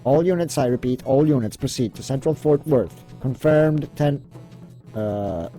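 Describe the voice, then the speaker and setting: A man's voice: medium pitch, expressive, measured pace, dark tone, low-quality recording. A man acting out dialogue in a hobby comic dub, with an understated, hesitant delivery. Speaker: amateur voice actor dubbing a comic. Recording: sound effects and faint music behind.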